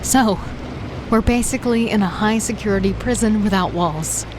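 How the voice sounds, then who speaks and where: A woman's voice: mid-range voice, normal speed, close to an everyday voice. An actress playing a direct, practical woman taking charge on a prison island, in an audio drama. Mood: curious. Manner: direct, questioning. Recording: noisy background.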